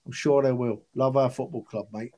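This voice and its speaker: A man's voice: medium pitch, steady tone, moderate pace, warm tone. A man of about sixty, chatting casually and earnestly as a football fan on a podcast, emphatic and matey. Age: 60s. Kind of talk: casual chat.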